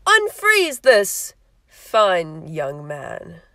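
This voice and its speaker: A woman's voice: lowish voice, wildly swooping pitch, extremely slow, in a large hall, very noisy, full character voice. A woman playing a haughty, menacing Snow Queen villainess with a grandiose, imperious delivery in a cartoon parody. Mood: bossy, impatient.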